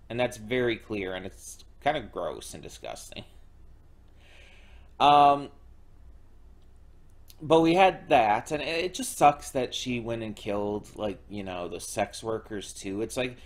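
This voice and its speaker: A young man casually recapping a show episode as a reaction host, halting with many fillers and restarts. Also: medium pitch.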